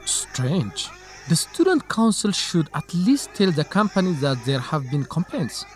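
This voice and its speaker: A man's voice: a little high, big pitch swings, measured pace, soft background music. A man playing an earnest assistant to a reporter in a radio drama. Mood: earnest, thoughtful. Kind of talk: English-teaching radio drama dialogue.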